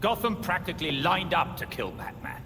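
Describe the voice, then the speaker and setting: A man playing a fatherly older butler and devoted guardian in a video game, formal, measured and admonishing. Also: sits high, very animated, sharp tone, heavily acted. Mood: stern, pained. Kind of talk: dramatic video game acting. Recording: long reverb, faint background noise.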